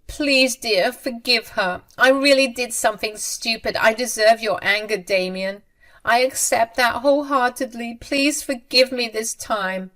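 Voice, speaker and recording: A frantic, begging mother-in-law in a storybook tale, her voice pushed up high and loud, as a woman narrator puts her on. She pleads and protests with rising urgency. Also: unhurried. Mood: pleading. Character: desperate pleading middle-aged mother-in-law. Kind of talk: storybook reading.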